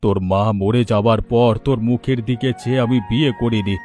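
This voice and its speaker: A man's voice: mid-range voice, fairly even intonation, brisk, natural voice. A man playing an anxious, self-pitying widowed father in a melodramatic cartoon, with a plaintive, lamenting manner. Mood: anguished, lamenting. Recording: music behind the voice.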